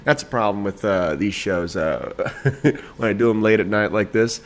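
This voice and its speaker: Rambling, tipsy late-night podcast host. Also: male voice, fairly low pitch, normal speed. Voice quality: a little nasal. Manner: rambling, laughing, profane, with many fillers. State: slightly tipsy. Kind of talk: late-night casual solo talk show. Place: home at night.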